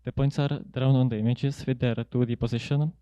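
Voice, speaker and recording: Young male researcher, soft-edged dark tone, dry close sound, halting and measured academic presentation. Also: mid-range voice, fairly even intonation, clean tone, dry recording. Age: young adult. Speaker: student researcher presenting a paper. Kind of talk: prepared academic conference talk. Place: indoor seminar room at a lectern. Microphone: handheld microphone close to mouth.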